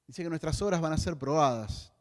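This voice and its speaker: A man's voice: on the high side, fairly quick. A man with a Rioplatense Spanish accent preaching a sermon as a pastor, in a conversational way with joking asides.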